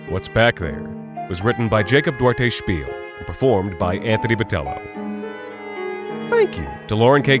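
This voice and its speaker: A man reading scripted closing credits as a podcast announcer. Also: medium pitch. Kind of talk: scripted narration. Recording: music under the voice. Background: string and guitar music.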